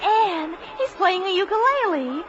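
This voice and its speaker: An actress playing an eager, bold teenage daughter with an American accent in a 1950s radio drama. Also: female voice, very high-pitched, very animated, a little slow, slight background noise, exaggerated voice. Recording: dull vintage radio broadcast, loud crowd.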